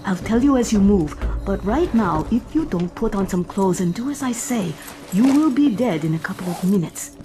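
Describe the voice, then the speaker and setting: A woman's voice: mid-range voice, lively intonation, normal speed, music in the background, close to an everyday voice. A woman playing a composed female shipboard artificial intelligence in a sci-fi drama, dry, precise and clinical. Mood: urgent. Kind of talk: science fiction audio drama acting.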